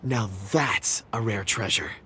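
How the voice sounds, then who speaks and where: An actor voicing an affable, eloquent gentleman in a video game, with courteous, theatrical phrasing. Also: lowish voice, slow, breathy edge, heavily acted. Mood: admiring. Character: affable, eloquent, forgetful gentleman rock expert.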